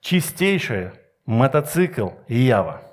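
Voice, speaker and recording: A middle-aged man giving a Sunday sermon with personal anecdotes, speaking emphatically with deliberate pauses and rhetorical questions. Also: mid-range voice, very animated. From Soviet Union. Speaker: preacher.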